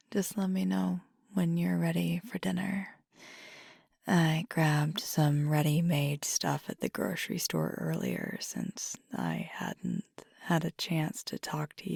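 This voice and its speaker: A woman playing an affectionate, teasing werewolf girlfriend in an ASMR roleplay, speaking in a soft, breathy, half-whispered voice with casual pauses. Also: sits low, no room sound, clean studio sound, full character voice. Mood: tender, relaxed. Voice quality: breathy, husky, gravelly fry. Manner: casual, unhurried, hesitant pauses. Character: fiery werewolf girlfriend, affectionate, teasing, weary pack member.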